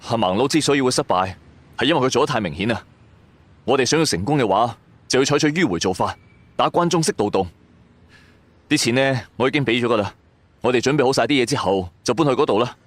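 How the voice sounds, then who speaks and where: A man's voice: mid-range voice, no room sound. A man narrating a scripted first-person audio drama in a colloquial, suspenseful storytelling manner.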